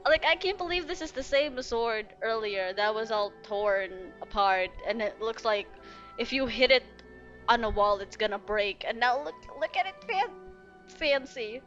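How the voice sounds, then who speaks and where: Bright-voiced woman reacting to a game. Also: on the high side, moderate pace, lo-fi sound. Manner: expressive, sentimental. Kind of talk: casual gameplay reaction chat. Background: game music.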